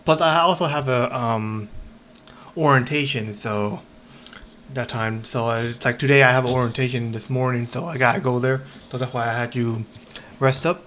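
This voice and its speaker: A man chatting casually as a game streamer, rambling and halting, often repeating himself. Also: medium pitch, a bit bright, a little hiss or hum. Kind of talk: casual livestream chat.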